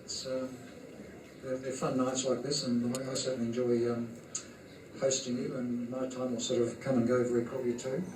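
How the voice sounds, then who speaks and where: A man's voice: medium pitch, level tone, normal speed, background noise. Guy giving the chairman's speech at a party, lots of ums, echoey room, sounds far off the mic. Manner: rambling, hesitant, many fillers. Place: function room at an evening party.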